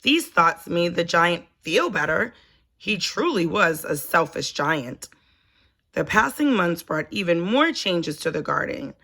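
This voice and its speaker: A woman reading a children's story aloud in an expressive, deliberate storytelling manner. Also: on the low side. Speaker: storybook reader, teacher.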